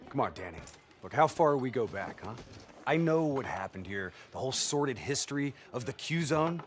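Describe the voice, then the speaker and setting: An actor playing a special forces soldier posing as a smooth-talking arms dealer in a game cutscene. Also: male voice, mid-range voice, animated, moderate pace, slight background noise, close to an everyday voice. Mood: coaxing, persuasive. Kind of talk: video game cutscene acting.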